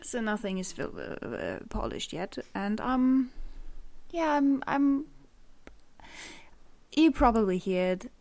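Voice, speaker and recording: A young woman from Germany talks in German-accented English, hosting her own unscripted podcast. She speaks at an unhurried pace, drifting off on tangents and poking fun at herself. She is recorded at home on a condenser microphone. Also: a little high, sing-song, gravelly, darkish tone. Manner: rambling, self-deprecating, laughs often. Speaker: podcast host. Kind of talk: unscripted solo podcast chat. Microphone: USB condenser microphone.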